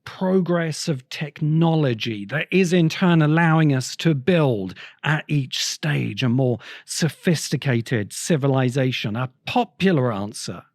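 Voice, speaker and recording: A man delivering a reflective essay-style lecture as a host, speaking in an erudite, deliberate way with pauses between phrases. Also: fairly high pitch, expressive, darkish tone, no room sound, studio quality. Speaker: host of a science fiction essay show.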